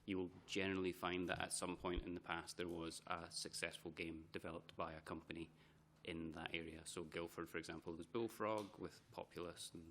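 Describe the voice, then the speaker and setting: Man, very low voice, hesitant testimony, faint room hum. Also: flat delivery, brisk, a bit bright. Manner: hesitant, repeats words while thinking. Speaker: committee witness. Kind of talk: formal testimony. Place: committee hearing room.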